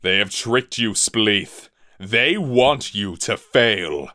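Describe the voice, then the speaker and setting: A man's voice: fairly low pitch, animated, takes it slowly, no echo, clean studio sound, heavily acted. A man voicing a proud sky-ship captain who bellows and scoffs at a mutineer. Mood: angry commanding. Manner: loud, scornful and commanding.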